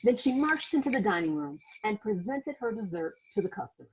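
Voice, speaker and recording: A woman reading a children's story aloud like a teacher, expressive and dramatic, with asides to her listeners. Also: medium pitch, a little fast, slight background noise, rough recording. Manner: animated, dramatic, acts out sound words and exclamations.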